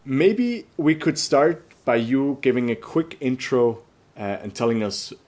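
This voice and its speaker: A man hosting an interview show, introducing guests and asking relaxed, friendly questions. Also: medium pitch, a little slow, soft background music. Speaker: host.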